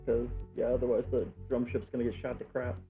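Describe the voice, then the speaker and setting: A man's voice: medium pitch, little pitch movement, brisk, mellow, soft background music. A man taking part in a tabletop game, chatting casually as a player. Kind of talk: casual chat.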